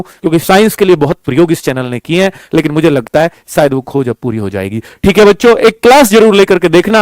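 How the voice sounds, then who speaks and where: A man's voice: high-pitched, no echo. A man giving an informal coaching talk, explaining things to students and checking in with them often. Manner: explanatory, addresses students as children, frequent check-in phrases. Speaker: coaching teacher and channel host announcing a class.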